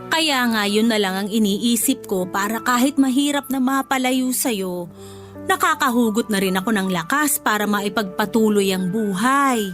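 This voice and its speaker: A woman playing a loving, motherly older nanny in a Filipino radio drama, speaking tenderly and with deep emotion. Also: moderate pace, fairly bright tone, soft background music, lightly acted. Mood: tender reassurance. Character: loving older nanny, motherly, grieving her lost child.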